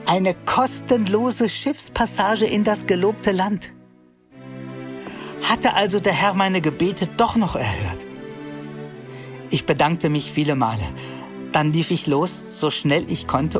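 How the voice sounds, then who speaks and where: A narrator telling his journey in an earnest, humble way, scripted for a radio drama. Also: fairly high pitch.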